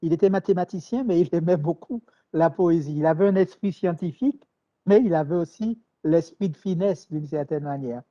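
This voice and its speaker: A man from Martinique, answering interview questions in a measured, thoughtful way with hesitations and repeated words. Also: on the high side, dark tone. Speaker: writer, interview guest. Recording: dull lo-fi sound.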